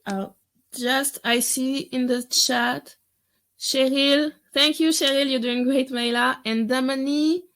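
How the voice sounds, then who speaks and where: A woman moderating a video-call film panel, in an unhurried, conversational way. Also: sits high, fairly even intonation, a bit bright.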